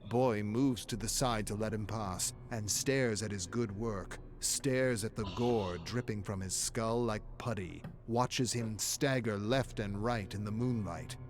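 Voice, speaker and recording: A man narrating a dark horror story in a dramatic, scripted style, with a measured, grave and brooding delivery. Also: lowish voice, slight background noise.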